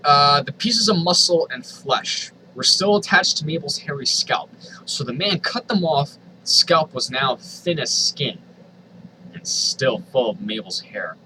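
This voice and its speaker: A man's voice: on the high side, animated, unhurried, sharp tone, slight background noise, rough recording. A man reading a story aloud in a theatrical, comedic way, with incredulous asides. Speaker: host reading fan fiction aloud.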